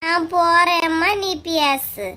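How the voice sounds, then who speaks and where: A woman with a Kanyakumari Tamil accent voicing a prying old village woman in a comic cartoon, with drawn-out sing-song delivery. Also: very high-pitched, steady tone, pushed and bright, a little hiss or hum, exaggerated voice. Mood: nosy.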